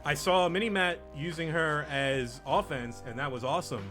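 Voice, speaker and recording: A man's voice: fairly high pitch, moderate pace, over music. A man hosting a group let's play, casually commenting and patiently explaining the game's mechanics.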